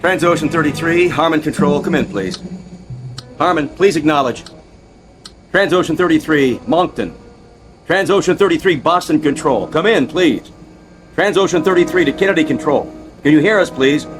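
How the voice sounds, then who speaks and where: An actor playing a crisp, businesslike airliner radio operator in a radio drama. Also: male voice, on the high side, moderate pace, over music, lightly acted. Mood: businesslike, insistent.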